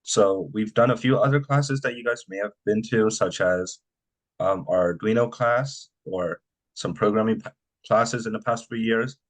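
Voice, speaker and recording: A man's voice: fairly low pitch, moderate pace, poor audio. A young man from a student robotics team presenting casually and hesitantly, with frequent "um".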